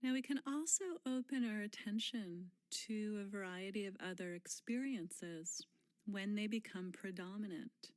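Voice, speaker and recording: Slow, gentle woman guiding meditation. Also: medium pitch, dry recording, studio quality. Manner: gentle, unhurried, with long pauses and soft breathy phrase endings. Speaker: meditation guide. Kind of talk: guided mindfulness meditation.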